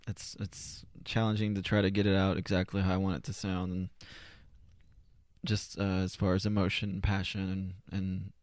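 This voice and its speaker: Low, monotone young man, halting delivery. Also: dry recording, clean studio sound. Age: 20s. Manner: halting, repeats words, self-effacing. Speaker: podcast guest, poet. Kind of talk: casual, unscripted interview answers. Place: converted garage home studio.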